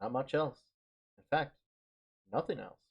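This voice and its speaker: A man reading a visual novel aloud on a stream, narrating haltingly and often repeating words as he goes. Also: mid-range voice, expressive, vocal fry, slightly rough, dull audio. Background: music.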